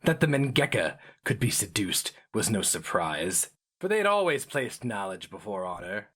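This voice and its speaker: A man giving a solemn, deliberate, dramatic reading of a fantasy story as its narrator. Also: fairly low pitch, sing-song, a bit bright, slightly rough.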